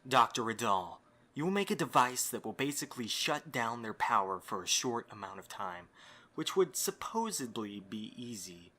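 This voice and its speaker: A man's voice: medium pitch, very animated, normal speed, pushed and bright. A man acting a courteous, scheming young host in an amateur audio drama, with a theatrically polite manner. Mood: confident, scheming.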